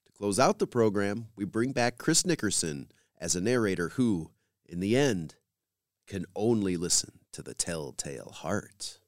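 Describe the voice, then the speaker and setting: A male podcast host delivers scripted links in a lowish voice. His intonation is lively and varied, and the sound is dry and close. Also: a little slow, no echo.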